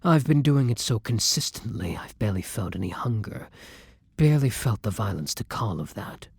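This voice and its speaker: Man acting as a tormented gentle vampire, low voice, very breathy, halting, intimate ASMR roleplay. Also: sing-song, dry recording, studio quality, exaggerated voice. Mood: tender concern. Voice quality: breathy, hushed, dropping into whispers. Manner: slow, halting, dramatic pauses. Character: tormented, gentle male vampire torn between hunger and compassion.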